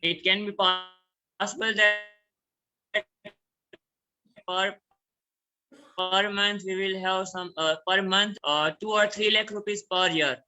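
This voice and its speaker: A man's voice: high voice, steady tone, takes it slowly, pauses often, bright, forceful tone. A man giving hesitant answers as a participant in an online class. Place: remote online call.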